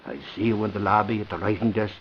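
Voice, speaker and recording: An actor playing a blustery, excitable middle-aged male reporter in a vintage radio drama. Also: lowish voice, fast, lightly acted. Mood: conspiratorial. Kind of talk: 1940s radio acting. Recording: muffled vintage radio recording with faint hiss.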